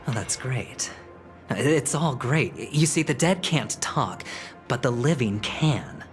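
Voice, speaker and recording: A man's voice: mid-range voice, expressive, slow pace. An actor playing a smug, scheming young male gambler in a video game, with a theatrical, teasing delivery. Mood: sly, theatrical. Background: game music.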